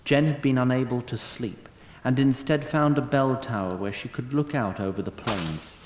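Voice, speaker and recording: A man's voice: mid-range voice, normal speed, darkish tone. A man narrating a scripted fantasy story in a wry storytelling manner.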